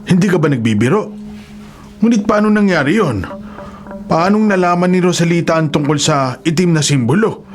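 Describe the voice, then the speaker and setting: A man's voice: fairly high pitch, expressive, moderate pace, lightly acted. Actor as a grave, worried older man. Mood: shocked disbelief. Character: worried older Filipino man with a dark occult past. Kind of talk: Filipino radio horror drama acting. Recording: dramatic music bed under the voice.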